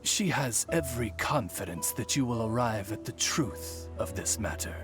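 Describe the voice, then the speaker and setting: A man's voice: mid-range voice, animated, moderate pace, natural voice. A man voicing a courtly familiar in a video game, formal and grandiloquent in his phrasing. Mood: courteous. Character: Oz, courtly, grandiloquent male familiar serving a young lady. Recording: music behind the voice.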